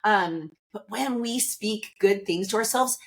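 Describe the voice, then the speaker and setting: A woman's voice: medium pitch, unhurried. A woman hosting a podcast, talking to listeners in a casual, upbeat and chatty motivational monologue, seated indoors. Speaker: podcast host.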